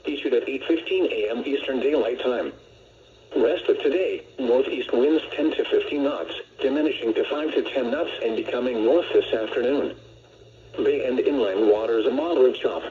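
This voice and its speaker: An American man's synthetic-sounding voice reading a scripted weather report as a radio announcer, measured and impersonal. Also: medium pitch, slight background noise. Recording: muffled lo-fi weather radio broadcast.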